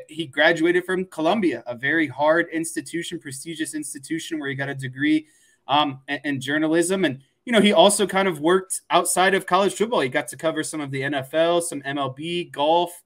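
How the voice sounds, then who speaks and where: A man's voice: on the high side, fairly bright tone. A man in his twenties with an American accent, casually discussing sports as a co-host and circling hesitantly around his points. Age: 20s.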